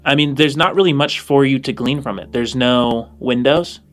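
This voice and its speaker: A man's voice: mid-range voice, moderate pace. A man narrating a tabletop game casually as game master, rephrasing as he improvises his descriptions.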